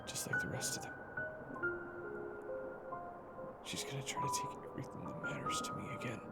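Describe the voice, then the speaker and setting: A man playing an embittered, intense hunter in an audio drama, speaking in clipped, halting phrases. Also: loud music behind the voice, close to an everyday voice. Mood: bitter resolve. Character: embittered vengeful male hunter, brooding and intense. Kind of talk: audio roleplay drama acting.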